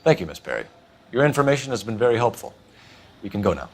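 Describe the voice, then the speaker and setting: Brisk, fast-talking police detective. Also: male voice, mid-range voice, very animated, faint music behind. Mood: businesslike. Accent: American. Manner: clipped, formal questioning. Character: brisk, stern young male police detective, all business. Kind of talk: 1940s crime drama game acting.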